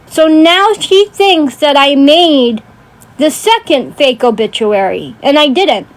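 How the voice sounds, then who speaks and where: A woman's voice: high voice, animated, slow, poor audio. A woman ranting casually on a livestream in a blunt, sweary way, often checking in with emphatic tag questions. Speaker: livestream host.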